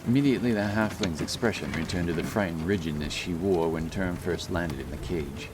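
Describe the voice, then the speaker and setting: A man narrating a fantasy audiobook in a measured, solemn storytelling manner. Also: low-pitched, background noise. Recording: loud music behind the voice. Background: loud music.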